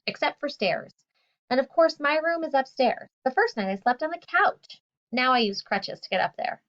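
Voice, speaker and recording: A poised teenage girl, read by a woman with a British-tinged accent. She speaks calmly and plainly in a fairly high voice. The recording sounds dull and lo-fi. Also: normal speed. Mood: matter-of-fact.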